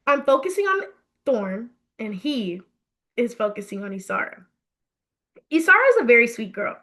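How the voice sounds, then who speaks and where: A woman with a fairly high, very bright voice narrates a story. She reads in an expressive storybook style, animated and emphatic in her phrasing. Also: moderate pace. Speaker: author reading her own book.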